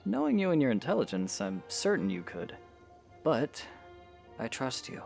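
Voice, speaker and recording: A man playing a patient young swordsman hero in an audio drama, coaching in a gravelly, encouraging way. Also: medium pitch, wildly swooping pitch, normal speed, a little mellow, soft background music. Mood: trusting. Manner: patient, instructive, reassuring. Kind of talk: scripted audio drama acting.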